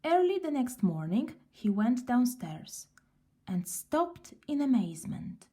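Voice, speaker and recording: Slow, mellow female storyteller. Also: a little high. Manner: unhurried, expressive narration. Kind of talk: children's story read aloud.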